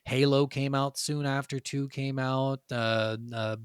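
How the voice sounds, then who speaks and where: A man's voice: mid-range voice, steady tone, measured pace, a crowd in the background. A man co-hosting a casual gaming podcast, with a blunt, joking and freely swearing way of talking. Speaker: podcast co-host.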